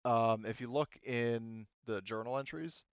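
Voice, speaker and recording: A man's voice: medium pitch, a little slow, clean tone, dry recording, lo-fi sound. A man running an online tabletop roleplaying game as game master, talking casually in a hesitant, rambling way with trailing thoughts.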